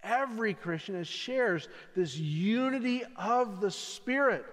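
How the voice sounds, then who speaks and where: High-voiced pastor preaching earnestly, gets louder on big points, long echo in the church. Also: male voice, lively intonation, measured pace. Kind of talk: sermon. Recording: long reverb in a large hall.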